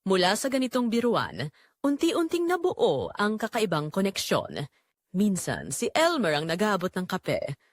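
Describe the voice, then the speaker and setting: A Filipino woman tells a scripted dramatic story in a mid-pitched voice. Her intonation moves widely from phrase to phrase. The sound is dry, with hardly any room on it. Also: huge pitch swings, measured pace, dry recording. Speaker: storyteller and channel narrator.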